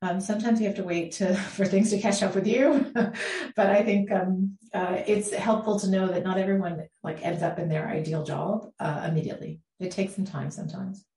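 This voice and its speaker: A middle-aged woman from the Bahamas, a law professor answering interview questions in a measured, thoughtful way with frequent ums. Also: mid-range voice, warm tone. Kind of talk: podcast interview. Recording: echoey room.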